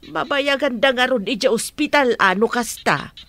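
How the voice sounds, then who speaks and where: A woman's voice: on the high side, normal speed, fairly bright tone, dry recording, natural voice. A woman playing a meddling, mocking middle-aged in-law in a radio drama. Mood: mocking, prying. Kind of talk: Ilocano radio drama acting.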